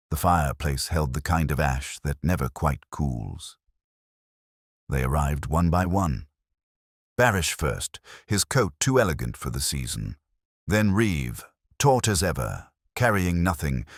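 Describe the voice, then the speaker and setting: Brooding male detective , acted by a man: extremely low voice, solemn scripted mystery narration, deliberate phrasing, very dry sound. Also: no room sound, full character voice. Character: brooding, measured male detective inspector narrating his case. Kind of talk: first-person scripted mystery narration, audiobook-like.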